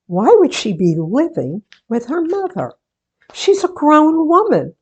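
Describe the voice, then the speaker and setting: A middle-aged woman playing a wry, chatty bookish woman, musing and deliberate in a theatrical radio-play monologue. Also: mid-range voice, darkish tone, lo-fi sound. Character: wry, chatty grown woman running a book exchange.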